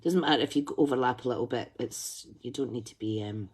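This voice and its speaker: A woman's voice: very deep voice, quick pace, a little mellow, husky. A woman hosting a craft tutorial, chatting and thinking aloud as she colours, humming to herself while she concentrates. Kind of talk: live colouring demonstration.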